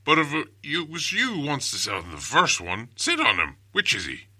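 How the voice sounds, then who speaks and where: A big, dim storybook troll with a very forceful, loud voice. He swings animatedly in pitch as he blusters and grumbles in crude, colloquial speech, always spoiling for an argument. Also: huge pitch swings, normal speed, husky, full character voice. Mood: quarrelsome.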